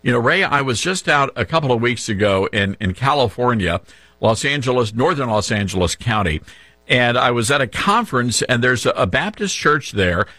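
Male radio host, upbeat interviewer, bright tone, dry close mic. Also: mid-range voice, lively intonation, moderate pace, dry recording. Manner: upbeat, conversational, joking. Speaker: radio talk show host. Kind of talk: radio show hosting and interview.

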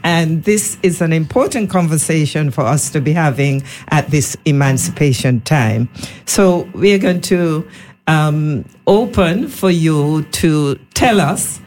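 Slow, deep-voiced woman host. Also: expressive, darkish tone. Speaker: radio host. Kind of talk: radio panel hosting. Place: radio studio.

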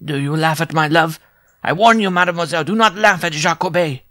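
A man reading a story aloud, voicing a tiny, proud and jealous circus dwarf in a shrill, pompous, impassioned voice. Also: a little fast, a bit bright, dry recording, studio quality. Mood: menacing.

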